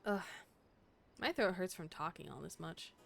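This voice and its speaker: A woman's voice: on the low side, moderate pace, vocal fry, a bit bright, faint music behind. A young adult woman streaming a game, chatting casually in a playful, teasing way and laughing often.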